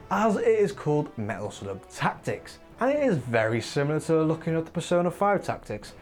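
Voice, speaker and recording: Chatty opinionated man, lively pitch. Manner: opinionated, rambling, lively asides. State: voice wearing thin. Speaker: gaming video host. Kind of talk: casual countdown commentary. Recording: faint music behind.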